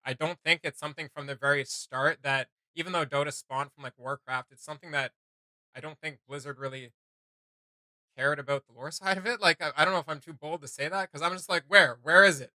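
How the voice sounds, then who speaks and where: Bright, clear-voiced man rambling casually. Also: a little high, a little fast, no room sound. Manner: rambling, excitable, slangy. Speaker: host of a video game reaction show. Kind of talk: casual reaction chat.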